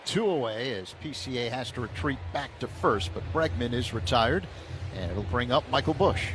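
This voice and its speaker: A man doing radio play-by-play of a baseball game, measured in tone and rising with the action. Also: medium pitch, lively intonation, over music. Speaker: play-by-play announcer. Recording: broadcast with clear background noise.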